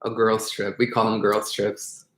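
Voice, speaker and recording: A man's voice: fairly low pitch, completely flat delivery, unhurried, fairly bright tone, roomy sound, a little hiss or hum. A man chatting casually on a live stream, reading out viewer questions.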